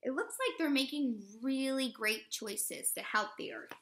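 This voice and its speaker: A woman in her thirties, a teacher reading a picture book aloud to young children in an expressive, instructive way. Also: high voice, unhurried, background noise. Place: living room at home, indoors.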